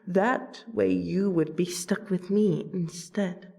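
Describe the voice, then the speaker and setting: Woman voicing a slow, mellow royal vampire lady. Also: on the low side, fairly even intonation, vocal fry. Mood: pleading, awed. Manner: polite, theatrical, deliberate. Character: courteous royal female vampire, loyal friend. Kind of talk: scripted fantasy audio roleplay.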